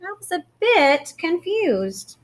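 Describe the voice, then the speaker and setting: A woman reading a children's picture book aloud, expressively, with deliberate emphasis and questions put to the listener. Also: very high voice. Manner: animated, deliberate emphasis, pausing to ask questions.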